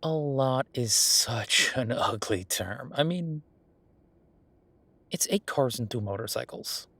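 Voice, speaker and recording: A man's voice: medium pitch, lively intonation, slow, creaky, pushed and bright, slightly rough, no echo, full character voice. A man playing a friendly, self-deprecating young billionaire in a casual, intimate audio roleplay, rambling informally and playfully defensive as he talks to the listener.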